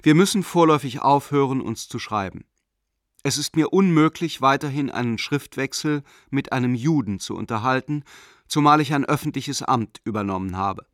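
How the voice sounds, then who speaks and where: An actor playing a zealous, coldly formal German banker in a radio play, reading a letter in a measured, self-righteous, declamatory way. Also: male voice, mid-range voice, animated, no echo, studio quality, lightly acted. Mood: curt, formal.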